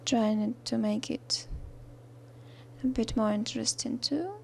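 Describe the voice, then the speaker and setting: Soft, gravelly Russian-accented woman narrating. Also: a little high, fairly even intonation, slight background noise. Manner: halting, with many fillers and restarts, soft-spoken. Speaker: art tutorial narrator. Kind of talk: voice-over narration of a drawing tutorial.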